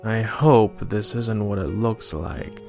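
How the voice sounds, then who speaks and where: A man playing a dry, learned monster hunter in an audio drama, with a measured and wry delivery. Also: lowish voice, vocal fry, a little mellow. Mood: grave, explaining. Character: dry, knowing male monster hunter with crossbow. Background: background music.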